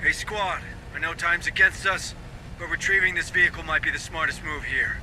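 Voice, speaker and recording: A man playing a brisk, commanding space marine sergeant in a video game, talking in clipped briefings. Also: a little high, bright, forceful tone, a little hiss or hum. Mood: businesslike. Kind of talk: video game military acting.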